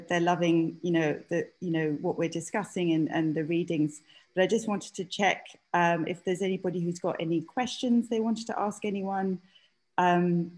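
A woman's voice: lowish voice, darkish tone, rough recording. A woman hosting an informal panel on a video call, tentative and apologetic in how she steers it. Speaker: event host, panel moderator. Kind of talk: informal event moderation. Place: indoor room on a video call.

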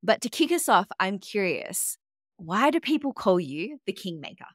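A woman's voice: mid-range voice, very animated, moderate pace, dry recording, studio quality. A woman hosting a podcast interview, asking upbeat questions. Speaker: podcast host. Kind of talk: casual interview chat.

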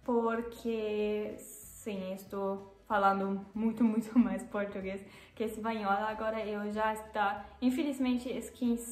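A young woman from Germany speaking with a German accent, who often pauses and corrects herself and laughs at her own mistakes. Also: medium pitch, fairly even intonation, echoey room. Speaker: vlogger. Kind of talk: casual vlog talk to camera. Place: living room, seated on a sofa.

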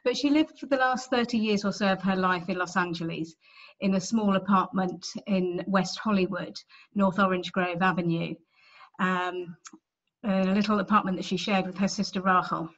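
A woman's voice: mid-range voice, fairly even intonation, normal speed. A middle-aged woman with an English accent giving a virtual book presentation from home, talking conversationally with frequent ums and self-corrections. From England. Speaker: author giving a library talk. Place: home room, indoors. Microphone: webcam on a video call. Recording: slightly dull webcam audio.

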